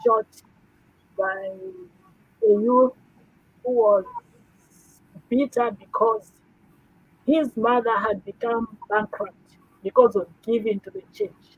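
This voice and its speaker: A woman's voice: fairly high pitch, big pitch swings, slow, creaky, pauses often, husky. A woman guest on a talk show, chatting in a rambling, emphatic way and repeating words as she goes. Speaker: pastor's wife guest. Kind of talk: casual talk show chat. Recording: tinny phone-like call audio.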